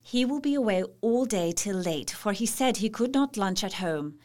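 A woman's voice: mid-range voice, lively intonation, normal speed, a little mellow, natural voice. An actress playing a devoted young Victorian wife, anxious but resolute, reading her journal earnestly and carefully in a radio play. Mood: tender, composed.

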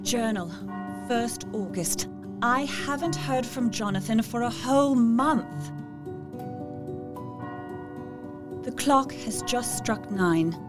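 A woman's voice: a little high, expressive, slow, over music. A woman playing an anxious, wistful young Victorian lady in an audio drama, reading her journal in a proper, earnest way. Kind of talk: dramatic first-person journal narration.

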